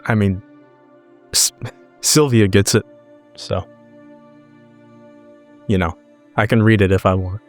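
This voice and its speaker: A man's voice: on the low side, fairly even intonation, slow pace, long pauses, faint music behind. A man playing a wry, smitten protective agent with dry, understated banter in a tabletop roleplaying game. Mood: sheepish.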